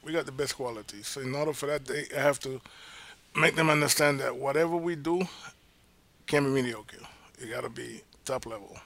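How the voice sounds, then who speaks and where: A man's voice: mid-range voice, brisk, vocal fry, fairly bright tone, dry recording. A man from Panama, a chef, talking casually in an interview and repeating his key points with emphasis.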